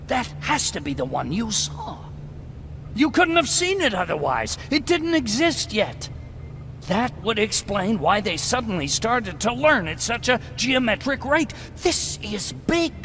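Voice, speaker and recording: A man's voice: high voice, sing-song, measured pace, full character voice. A man acting a video game role as an earnest resistance scientist, fervent yet resigned. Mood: excited, urgent. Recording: clearly noisy game soundscape.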